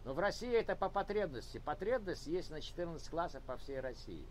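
A man's voice: fairly high pitch, sing-song, a little fast. Patient arguing man, faint room hum. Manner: patient, repeats himself while arguing. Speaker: video chat host. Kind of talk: casual debate. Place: room at home.

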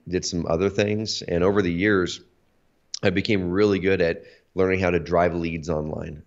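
Deep-voiced man, hoarse from allergies. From Oregon, USA. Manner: energetic, talkative, lots of fillers. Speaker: guest, company CEO. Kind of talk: casual interview. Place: home office, indoors. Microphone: video call. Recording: slightly dull lo-fi video call audio.

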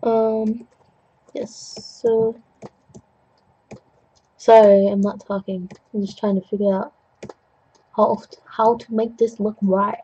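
Young person chatting while painting at home, kinda husky, jokey, muffled desk mic sound. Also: medium pitch, flat delivery, slow pace, long pauses, dark tone. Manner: chatty, self-deprecating, jokey with puns; coughs now and then. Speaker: digital art tutorial host. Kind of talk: rambling casual commentary while painting.

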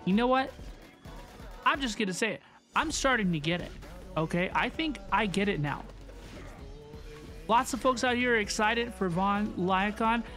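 Female reaction host, casual and slangy, exclamatory, repeats her phrases, mid-pitched, light game music behind. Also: lively intonation, moderate pace, pauses often, a bit bright. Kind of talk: casual excited reaction commentary.

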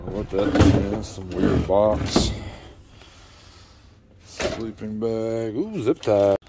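A man's voice: on the low side, steady tone, extremely slow. A man giving casual, off-the-cuff commentary in terse fragments as he handles items, recorded on a handheld phone. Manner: terse, muttered fragments while rummaging. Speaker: vlogger going through a storage unit. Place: storage unit with concrete floor. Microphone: handheld phone, speaker behind camera. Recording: very noisy handheld recording with clicks. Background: loud noise, clicks and rummaging.

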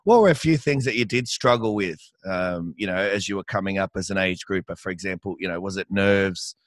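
A man's voice: sits low, brisk, dry recording. A man with an Australian accent hosting a swim podcast over a headset, chatty and matey. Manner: matey, chatty, calls guest mate. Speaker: podcast host and swim coach. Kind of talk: casual interview. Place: small room with hung jerseys.

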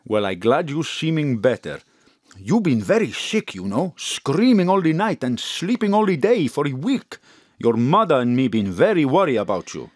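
An actor playing a kindly, fussing chip shop owner with a foreign accent and eager, apologetic broken English in an audio drama. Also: male voice, mid-range voice, very animated, normal speed, no room sound, clean studio sound. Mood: worried, caring.

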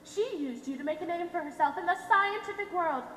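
In an echoey, noisy theatre, a young woman plays a scheming middle-aged lady on stage. She is prim and haughty, with a high, affected voice. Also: fairly quick, a bit bright. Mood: smug, needling. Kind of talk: amateur stage play acting.

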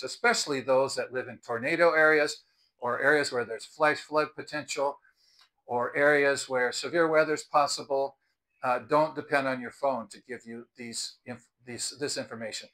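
A man's voice: mid-range voice, sharp tone. An American man in his sixties talking to camera in an earnest, advisory way, explaining things as a video host. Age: 60s. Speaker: video host and product reviewer. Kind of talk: explanatory vlog talk to camera. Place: outdoors on a house's front porch. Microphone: clip-on lapel mic.

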